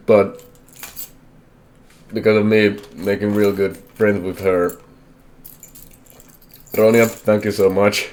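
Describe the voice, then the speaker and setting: Deep-voiced young man, halting and candid. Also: darkish tone. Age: young adult. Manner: halting, hesitant, self-correcting, candid. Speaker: vlogger. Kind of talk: personal life update.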